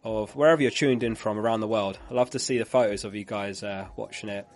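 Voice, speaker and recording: A man's voice: on the low side, fairly even intonation, fast talker. A man who is losing his voice, hosting a live fantasy football stream in a chatty way, reading out viewer comments and laughing along.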